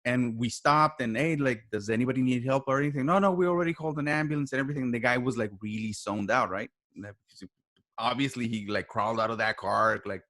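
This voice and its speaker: Mid-pitched man telling stories in halting bursts. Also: a bit bright. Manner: halting, repeats phrases, acts out quoted dialogue. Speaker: podcast guest, writer and voice actor. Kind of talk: casual conversational storytelling.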